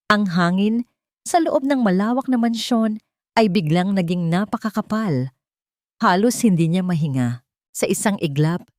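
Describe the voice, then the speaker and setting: Female audiobook narrator, lowish pitch, solemn and emotive scripted storytelling, short measured phrases. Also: a little mellow, no room sound, clean studio sound.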